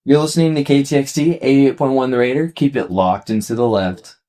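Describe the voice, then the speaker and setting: A man's voice: mid-range voice, brisk, dark tone. A man hosting a college radio show, chatting casually and informally with a guest. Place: radio station studio.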